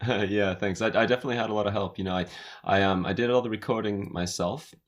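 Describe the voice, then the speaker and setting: A man from California, with an American accent tinged with Australian, chatting as a guest singer-songwriter in a relaxed, hesitant way full of fillers. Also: lowish voice, fast. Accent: American, slightly Australian. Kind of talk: casual interview chat. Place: his home recording studio.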